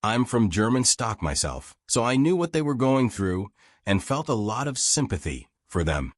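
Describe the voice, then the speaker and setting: A man's voice: medium pitch, big pitch swings, normal speed, no room sound, studio quality. A man voicing a frank, wry old American war reporter reminiscing in folksy, self-deprecating audiobook dialogue.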